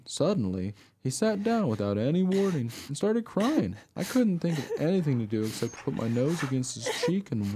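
Man as an earnest storytelling dog, soft-toned and lively. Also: a little high, husky. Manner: plain-spoken, artless storytelling. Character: honest, earnest pet dog telling his own tale. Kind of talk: first-person radio play narration.

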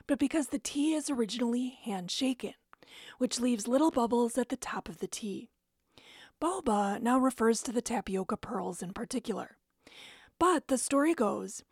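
A woman radio reporter reading a scripted feature narration in a measured, descriptive storytelling way. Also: a little high, a little mellow, breathy edge, no echo, studio quality.

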